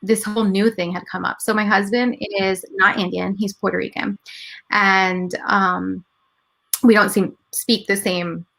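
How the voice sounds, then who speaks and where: A woman's voice: mid-range voice, level tone, measured pace, vocal fry, a bit bright, low-quality recording. A woman in her thirties whose first language is Gujarati, telling her story in a casual interview and often quoting her own past thoughts. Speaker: guest, entrepreneur and mother.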